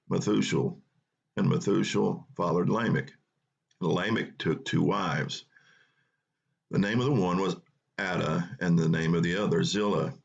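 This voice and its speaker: A man hosting a Bible study, reading scripture aloud in an unhurried, plain way, with a low morning voice. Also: creaky, breathy edge, highs cut off. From North Carolina.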